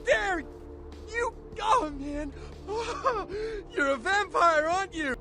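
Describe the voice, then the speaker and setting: An actor playing an excitable, gushing young slacker ghoul, a vampire's eager sidekick in a video game. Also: male voice, extremely high pitch, extremely slow, over music, heavily acted. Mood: thrilled. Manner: gushing, rambling, slangy. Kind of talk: video game character acting.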